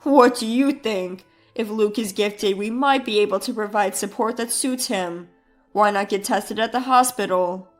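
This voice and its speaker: A woman's voice: medium pitch, a little slow, faint music behind, close to an everyday voice. A woman voicing an encouraging, earnest schoolteacher in storybook dialogue. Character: encouraging, clear-spoken schoolteacher.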